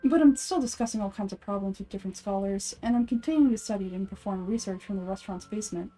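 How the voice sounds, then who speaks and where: A woman's voice: medium pitch, fast, dark tone, faint music behind, close to an everyday voice, poor audio. A woman voicing a cheerful, earnest townsperson in fan-made fantasy game dialogue. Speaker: amateur voice actor.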